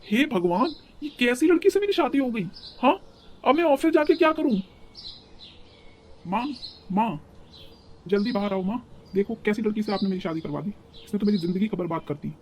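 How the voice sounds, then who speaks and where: A woman's voice: medium pitch, expressive, normal speed, a little mellow, a little hiss or hum, natural voice. A woman playing an exasperated, hot-tempered young city husband in a cartoon, scolding and impatient. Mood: outraged. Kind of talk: cartoon story dialogue.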